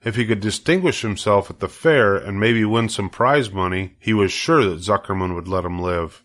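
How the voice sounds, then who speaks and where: A man narrating a children's story in a measured, deliberate way. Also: on the low side, animated, a bit bright. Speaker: storybook reader.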